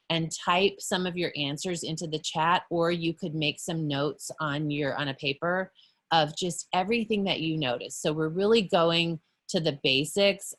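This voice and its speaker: A woman art educator leading teachers through an online workshop on a video call, explaining patiently with frequent pauses and self-corrections. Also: low voice.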